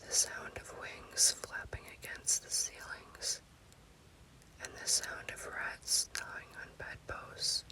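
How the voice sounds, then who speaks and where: Woman doing whispered asmr scary storytime, all breath no voice, really slow, bright hissy whisper. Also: poor audio. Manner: slow, deliberate delivery in short phrases. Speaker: storyteller, host.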